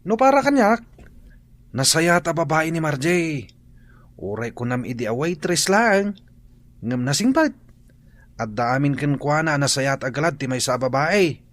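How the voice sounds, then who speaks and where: A man with an Ilocano accent playing a talkative, teasing friend who gives blunt advice in a radio drama, animated and nagging. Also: fairly high pitch, unhurried, pauses often. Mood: chiding.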